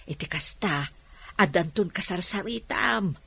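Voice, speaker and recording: A Filipino woman acting a teasing, nosy mother with a husky voice in a radio drama. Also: fairly low pitch, lively intonation, sharp tone, heavily acted. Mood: playful, prying. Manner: slow, playful teasing. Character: teasing, nosy, giggly mother matchmaking her bachelor son.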